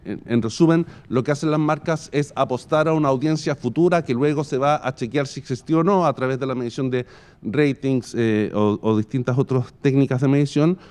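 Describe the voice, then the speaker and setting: A man with a Chilean accent giving an explanatory business presentation as a company executive, in formal, halting, deliberate phrases with long winding sentences. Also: fairly high pitch, a little mellow, smooth. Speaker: radio and podcast company executive presenting a case study.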